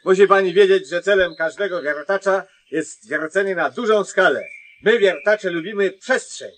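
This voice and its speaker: An actor playing a gruff, self-important, curt driller in a comedy radio play. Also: male voice, high-pitched, moderate pace, a bit bright. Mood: pompous. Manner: curt, pompous, dismissive. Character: gruff, bossy, self-important man, newly trained driller.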